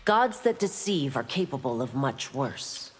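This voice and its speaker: A principled, stern chief constable in a fighting game. Her voice is low, with formal authority in every line. It rings in a long hall reverb with clear noise behind it. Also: very animated, a little slow, heavily acted. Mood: solemn, probing. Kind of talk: scripted fighting game dialogue.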